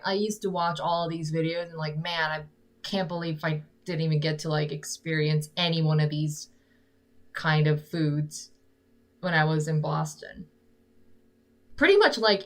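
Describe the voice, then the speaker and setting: A young woman with a notably low voice chats casually on her live stream, answering viewers. Korean is her first language, and she talks in loose, rambling English, packed with "like" and quick restarts. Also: fairly bright tone. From South Korea. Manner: rambling, fills nearly every phrase with "like". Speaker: singer-songwriter streamer.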